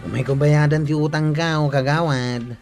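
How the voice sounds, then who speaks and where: A man's voice: fairly high pitch, fairly even intonation, normal speed, music in the background, close to an everyday voice. A man playing a plain-spoken village customer in a comedic radio drama, with a Northern Philippine accent and an indignant, explaining manner. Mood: matter-of-fact.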